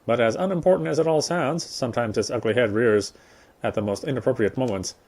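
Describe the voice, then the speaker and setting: Man reading game story aloud, mid-pitched. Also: a little fast, a little mellow. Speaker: gameplay streamer reading aloud.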